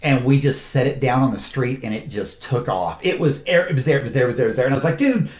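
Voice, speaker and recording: A man, a film director talking casually in an interview and telling lively stories, often laughing. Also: mid-range voice, quick pace. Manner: animated storyteller, laughs often, acts out quotes. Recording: echoey room.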